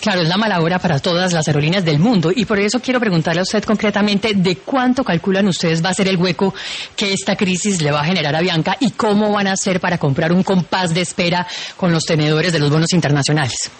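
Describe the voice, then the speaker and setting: Fast-talking radio co-host woman. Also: fairly low pitch. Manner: emphatic, quick interjections. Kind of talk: news radio commentary.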